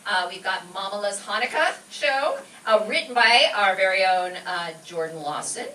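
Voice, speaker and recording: A woman's voice: medium pitch, expressive, normal speed, bright, forceful tone. An American woman giving an effusive, informal welcome speech to an audience as a class instructor, recorded in a live event room. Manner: effusive, enthusiastic. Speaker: class instructor introducing a show. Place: live event room at a community learning center. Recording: roomy, distant mic with background noise.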